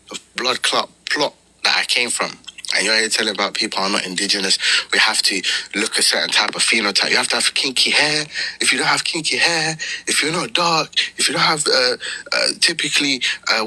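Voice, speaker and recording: Bright, husky man in confrontational debate. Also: mid-range voice, no room sound, poor audio. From Somalia. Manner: confrontational, mocking, rhetorical questions. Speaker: debater in an online panel. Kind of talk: heated online debate, trash talk.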